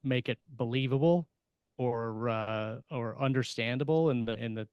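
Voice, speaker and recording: Easygoing mid-pitched man, dry sound. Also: moderate pace, clean tone, dry recording, highs cut off. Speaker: podcast co-host. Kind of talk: casual chat.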